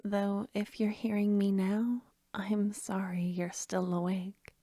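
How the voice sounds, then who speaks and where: A woman speaking gently and softly to her listeners as an ASMR creator, in a hushed voice. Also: medium pitch, level tone, takes it slowly, no room sound, studio quality. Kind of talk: soft-spoken ASMR sign-off.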